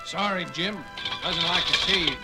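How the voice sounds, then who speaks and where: High-voiced, grumpy ship's doctor. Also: male voice, measured pace. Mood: grumbling. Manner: testy, wry, folksy. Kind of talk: 1970s space adventure audio drama acting. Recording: 1970s record drama over clear music.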